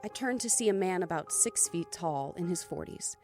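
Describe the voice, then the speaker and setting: A woman playing an anxious, grieving daughter who narrates her own thriller in a somber, measured, introspective way. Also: lowish voice, expressive, a little mellow, soft background music, natural voice. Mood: wary. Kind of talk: dramatic first-person audio drama narration.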